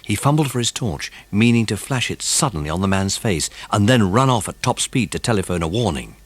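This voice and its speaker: A man narrating a children's mystery audiobook in a suspenseful, expressive way. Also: on the low side, fairly quick, no room sound.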